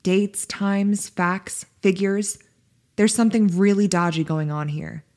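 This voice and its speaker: A woman's voice: mid-range voice, fairly even intonation, slow, a little mellow. A woman playing a determined, suspicious investigator who speaks insistently, in an amateur audio drama.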